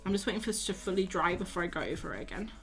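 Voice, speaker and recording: A woman's voice: lowish voice, very animated, racing. A young woman, tired and losing her voice, chatting casually with viewers as she paints on a live stream. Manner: chatty, self-mocking, talks to viewers while drawing. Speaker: art streamer.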